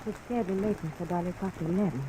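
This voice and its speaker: A woman's voice: on the low side, rapid-fire, dark tone, natural voice. A woman playing a proud, defiant young woman with a formal, haughty manner in a 1950s radio drama. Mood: guarded. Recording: muffled, hissy old radio recording.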